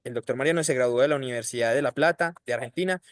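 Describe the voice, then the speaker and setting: A man's voice: mid-range voice, racing, no echo, clean studio sound. A man hosting an online diploma course, introducing a guest speaker in a formal, courteous way. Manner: formal, courteous, switches to English.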